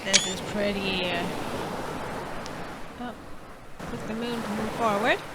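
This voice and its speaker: A woman doing casual playthrough commentary, informal and expressive, sometimes reading text aloud. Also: mid-range voice, slow, very noisy. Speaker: gaming video creator. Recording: loud ocean waves and game ambience behind voice. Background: game's sea ambience.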